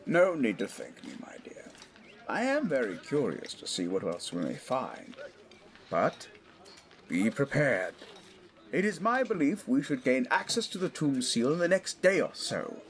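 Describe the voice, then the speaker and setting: A man acts out a middle-aged English archaeologist of the 1920s in an audio drama. He is formal and professorial, choosing words with deliberation. His medium-pitched voice rises and falls widely with scholarly eagerness. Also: wildly swooping pitch, unhurried. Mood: businesslike, eager. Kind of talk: scripted audio drama acting.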